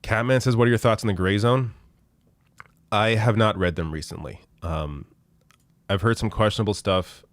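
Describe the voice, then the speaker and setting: A man in his thirties, hoarse from losing his voice, hosting a casual live political stream with blunt, profane commentary and frequent restarts. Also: on the low side, moderate pace, pauses often, no echo. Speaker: political show host reading viewer chat.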